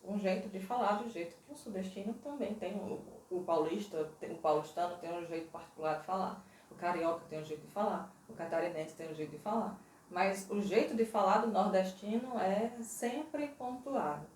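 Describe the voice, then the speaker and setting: A woman with a Northeastern Brazilian accent talks through her answers in an interview, thoughtful and measured, and she leans hard on key points. Her voice sits at a middle pitch. It is recorded in a roomy space, a little away from the mic. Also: a little mellow, far from the mic, slight background noise. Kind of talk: interview conversation. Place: Portugal.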